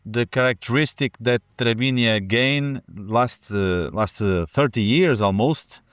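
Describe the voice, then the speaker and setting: A man's voice: medium pitch, slow, clean tone, no echo, clean studio sound. A man hosting an interview, asking questions hesitantly and rephrasing them as he goes. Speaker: host.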